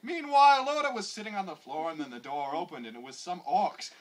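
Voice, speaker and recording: A woman's voice: on the low side, expressive, brisk. A woman giving an over-dramatic comic reading of bad fan fiction, with exaggerated emphasis that often verges on laughter.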